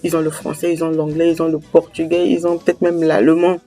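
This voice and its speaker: A man's voice: on the high side, completely flat delivery, fairly quick, dark tone, faint music behind. A young man, speaking emphatically and repeating phrases for weight in a passionate talk. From Republic of the Congo. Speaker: host. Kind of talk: impassioned monologue on language and culture.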